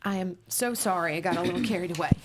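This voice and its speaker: A woman's voice: fairly low pitch, rapid-fire, background noise, lightly acted. A woman reading the part of a prim, earnest conservative mother, polite and self-righteous. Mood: sheepish.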